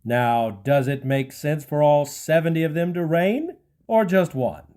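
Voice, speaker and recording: A man's voice: on the high side, measured pace, dark tone, lightly acted. A man playing a scheming, ruthless claimant to a throne in an audio Bible drama, with feigned courtesy. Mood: persuasive.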